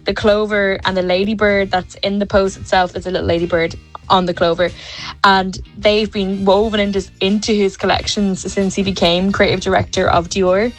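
A woman speaks as a fashion historian in a recorded voice note. Her voice sits at a middle pitch and she explains things in a steady, informative way. Soft music plays faintly underneath. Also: moderate pace, poor audio. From Ireland. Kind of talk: recorded voice message.

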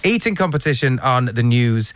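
A man's voice: mid-range voice, lively intonation, moderate pace, fairly bright tone, a little hiss or hum. A male radio host running a playful game segment, teasing and giggling through the banter.

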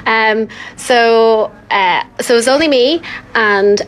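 A woman's voice: on the high side, slow pace. Friendly businesswoman, interviewed. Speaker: businesswoman and chef. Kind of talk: interview answers.

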